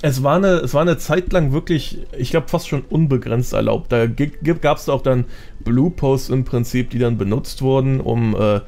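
A man's voice: mid-range voice, normal speed, vocal fry, a little mellow. A man, a podcast guest explaining things in informal, slangy casual chat. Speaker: podcast guest, gaming content creator.

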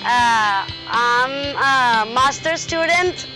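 A woman from Colombia, a native Spanish speaker and master's student, speaking in a lively, upbeat way. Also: fairly high pitch, very slow, rough recording. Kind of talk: interview and travel narration. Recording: music bed behind voice.

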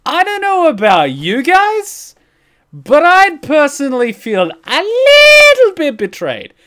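A man's voice: very high voice, wildly swooping pitch, takes it slowly, bright, forceful tone. A young man giving casual, profane reaction commentary as a streamer, with a sarcastic, exaggerated manner.

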